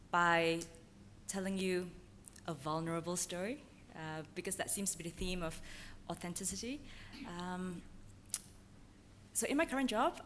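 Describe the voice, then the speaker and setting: A woman's voice: on the low side, fairly bright tone, a little hiss or hum. A woman from Malaysia with a slight American accent, giving a candid, self-deprecating, unscripted talk on a conference panel. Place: conference hall.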